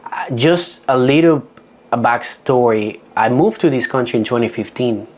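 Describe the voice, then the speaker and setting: A man's voice: medium pitch, normal speed, a little mellow. A man with a Dominican Spanish accent, Spanish his first language, giving a seminar on real estate deals in a frank, practical way with frequent restarts. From Dominican Republic. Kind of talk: seminar talk.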